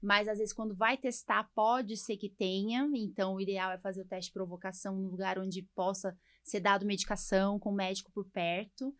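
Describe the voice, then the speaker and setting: Casting: Brazilian woman, doctor and podcast host, bright voice, conversational explainer, very dry sound. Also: medium pitch, level tone, fairly quick, few pauses, no echo. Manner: chatty explainer, frequent tag questions.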